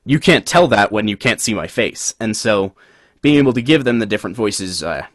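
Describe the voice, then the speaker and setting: Podcast co-host, man, low-pitched, chatty and rambling, dry sound. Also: brisk, dry recording. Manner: relaxed, rambling, many fillers. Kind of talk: casual chat.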